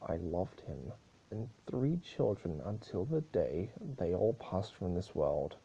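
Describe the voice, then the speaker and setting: A man's voice: deep voice, very animated, slow, gravelly, mellow, breathy edge, muffled recording, full character voice. A man voicing a stern, grieving pony princess with a grave, accusatory, declamatory delivery in an amateur story reading. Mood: bitter scorn. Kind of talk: amateur dramatic reading of fan fiction.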